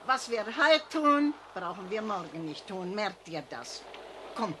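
A woman's voice: medium pitch, very animated, measured pace, pushed and bright, a little hiss or hum. A woman playing a scolding, impatient mother in a children's radio play. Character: scolding mother gathering pine cones.

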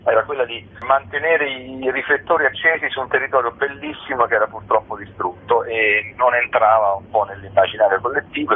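A man's voice: mid-range voice, slightly rough. A man giving an informal interview as a guest, talking as a festival organiser. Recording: phone-like line with faint noise.

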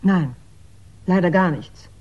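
Calm widow in old radio krimi, husky and mellow, lowish voice, muffled old recording. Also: female voice, slow. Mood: polite. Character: composed, attentive widow hosting a suspected friend. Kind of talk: 1960s German radio crime drama acting. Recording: dull, band-limited 1960s radio recording.

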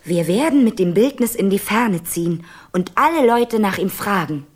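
An actress playing a stubborn, romantic young princess in a radio play, determined and commanding. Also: female voice, mid-range voice, lively intonation, moderate pace, breathy edge. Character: headstrong fifteen-year-old princess, stubborn and romantic. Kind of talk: German radio play acting.

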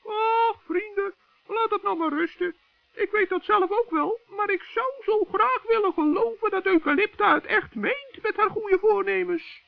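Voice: extremely high pitch, a little slow, vocal fry, no echo, exaggerated voice. An actor playing a kind, trusting, earnest little male gnome in a children's radio play. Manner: earnest, good-natured, determined. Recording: muffled old narrow-band radio recording.

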